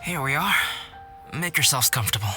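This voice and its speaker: A man voicing a polite, detached young alchemist in an anime video game, speaking in a measured, formal way. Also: medium pitch, animated, sharp tone, soft background music.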